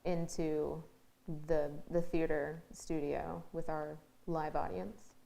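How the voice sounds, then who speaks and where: A woman's voice: sits low, little pitch movement, slow pace, creaky, mellow. A woman being interviewed as part of a theatre crew, chatting casually. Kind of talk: casual chat.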